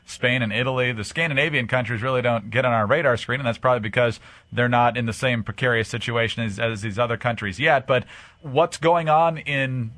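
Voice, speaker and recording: A man hosting a radio interview, reading smooth introductions and putting questions to his guest. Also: medium pitch, a little fast, bright, forceful tone, dry recording. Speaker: radio talk show host.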